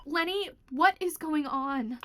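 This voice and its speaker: A woman's voice: high-pitched, takes it slowly, fairly bright tone, slight background noise. An actress playing a concerned, determined young woman in an audio drama game, earnest and insistent. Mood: tense.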